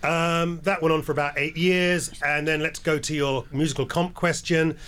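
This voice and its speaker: A man's voice: fairly high pitch, fairly even intonation, normal speed, fairly bright tone, clean tone. A jet-lagged older man from England, telling stories as a radio interview guest with a wry, laid-back manner.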